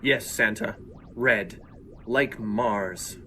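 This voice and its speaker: A man's voice: on the low side, drawn-out words, bright, forceful tone, exaggerated voice. An actor playing a stern, dignified Martian leader and father in a comic audio drama. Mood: courteous, formal.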